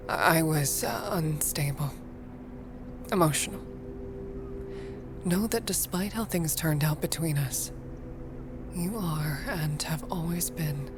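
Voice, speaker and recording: An actress playing a cool, sardonic middle-aged mother and scientist in an audio drama, with formal, arch, measured diction. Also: low-pitched, noisy background. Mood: remorseful. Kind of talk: dramatic audio fiction acting.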